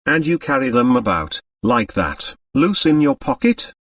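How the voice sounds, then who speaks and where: A man's voice: medium pitch, wildly swooping pitch, unhurried, vocal fry, fairly bright tone, no room sound, clean studio sound, far from the mic, full character voice. A man voicing a discreet, polite male private secretary in an audiobook. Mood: awed.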